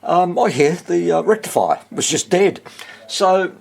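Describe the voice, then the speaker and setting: A husky Australian man with plenty of vocal fry and swings in pitch. He talks casually, easygoing and rambling, thinking aloud as he explains a repair. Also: animated, a little slow. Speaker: host of a vintage radio repair channel. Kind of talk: casual chat to camera about a repair.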